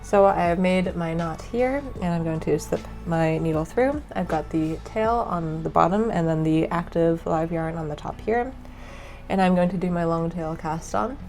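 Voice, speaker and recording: A woman with a stuffy, cold-affected voice, explaining a knitting tutorial in a relaxed way with frequent ums. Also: lowish voice, normal speed, faint music behind. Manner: relaxed, many ums and uhs. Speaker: knitting instructor.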